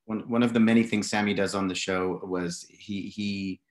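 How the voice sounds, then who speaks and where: A man on a video call panel, relaxed and plain-spoken. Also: fairly low pitch, normal speed. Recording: echoey room on video call.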